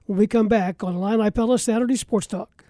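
A man's voice: high-pitched, steady tone, fairly quick, mellow. An American man hosting a live local sports radio talk show, announcing and chatting with guests.

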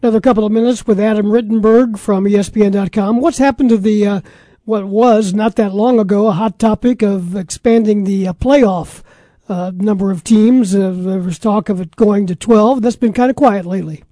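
A man hosting a sports radio interview, putting questions to a guest in measured, broken phrases with some uhs. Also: sits high, fairly even intonation, dark tone, dry recording. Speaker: sports talk radio host.

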